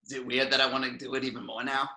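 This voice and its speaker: Guy doing a cocky sarcastic raccoon, super bright sharp voice, bossy, roomy echo. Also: mid-range voice, rapid-fire. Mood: smug. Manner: sarcastic, bossy, excitable delivery. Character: cocky, sarcastic talking raccoon mercenary, male. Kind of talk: amateur table read of a film script.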